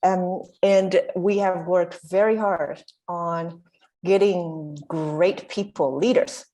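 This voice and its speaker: A woman's voice: on the low side, steady tone, slow, warm tone. A woman born in Taiwan introducing herself on a video call, hesitant with many fillers and restarts. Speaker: country chair and panelist. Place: bright room indoors. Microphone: laptop webcam on a video call.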